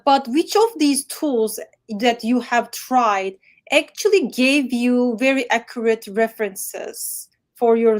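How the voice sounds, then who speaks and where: Woman moderator asking winding questions. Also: on the high side. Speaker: workshop moderator. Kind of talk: moderating an online Q&A.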